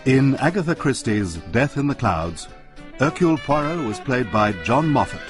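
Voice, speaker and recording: A man reading scripted radio credits as an announcer, formal and clearly phrased. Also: mid-range voice, expressive, normal speed. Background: music.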